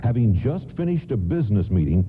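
A man's voice: big pitch swings, a little fast. A man narrating a nostalgic Christmas radio play in a scripted storytelling style. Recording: muffled phone-like audio, faint rumbling noise.

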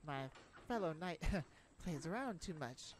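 A woman's voice: fairly low pitch, animated, a little slow. Woman putting on a blustering male castle guard, noisy recording. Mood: backpedaling. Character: blustering male castle gate guard, scornful, easily cowed. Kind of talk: fantasy audio roleplay.

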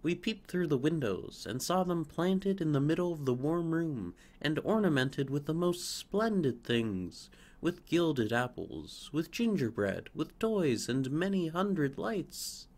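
A flock of know-it-all sparrows, put on by a male reader, chatter in a high, chirpy voice. They talk eagerly and boastfully, lifting each phrase. It is a storybook reading. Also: big pitch swings, unhurried, few pauses, darkish tone, heavily acted. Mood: excited.

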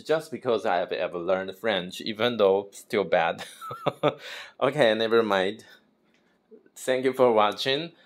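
Guy practicing pronunciation, low voice, slow and careful, redoes words. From Taiwan. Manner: slow, repeats words, self-correcting with soft laughs. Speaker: language learner. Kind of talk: self-recorded pronunciation practice.

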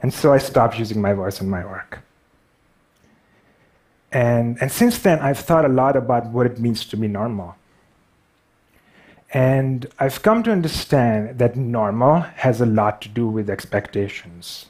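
A man's voice: medium pitch, lively intonation, measured pace, pauses often, a little mellow. A man in his thirties with a Pakistani accent, giving a stage talk with wry, self-deprecating humor, on a headset mic in a large theater. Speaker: speaker.

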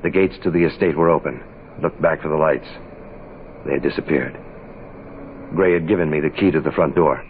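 A hard-boiled radio detective of the sixties. His voice is extremely low and his delivery terse and deadpan. The old recording is narrow as a phone line, with a faint hiss. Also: fairly quick, pauses often. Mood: tense, deadpan. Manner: clipped, deadpan. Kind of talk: 1960s radio noir narration and dialogue.

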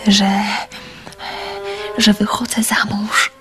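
A woman acting in a radio drama as a naive, curious, dreamy young woman with a gentle manner. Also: medium pitch, level tone, slow, soft background music.

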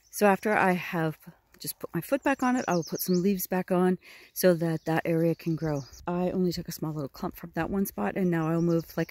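A middle-aged woman walking through spring woods, filming on a phone held at arm's length, gently explaining how she forages. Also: fairly low pitch, slight background noise. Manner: gentle, admiring, unhurried explaining. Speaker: forager showing her land. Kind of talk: casual outdoor how-to narration. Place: spring woodland outdoors by a river. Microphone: phone camera held at arm's length.

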